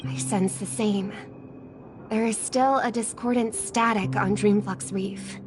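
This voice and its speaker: A woman plays a gentle young female leader from a video game, speaking at a medium pitch. She is polite, composed and diplomatic, with music clearly playing behind her. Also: steady tone, measured pace, lightly acted. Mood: composed, concerned.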